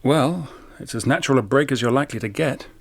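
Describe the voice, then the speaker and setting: An actor playing a blunt intelligence officer in a radio drama, dry and brisk. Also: male voice, mid-range voice, vocal fry.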